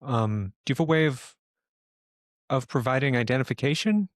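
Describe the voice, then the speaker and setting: A man voicing a hesitant, guarded university librarian woman taking a phone call in a tabletop game, speaking in a halting, tentative way. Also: medium pitch, dry recording, clean studio sound, close to an everyday voice.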